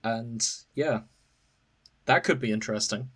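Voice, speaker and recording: A man's voice: medium pitch, unhurried, a bit bright, husky. A man hosting a podcast, chatting casually with his co-hosts. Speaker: podcast host. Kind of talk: casual chat.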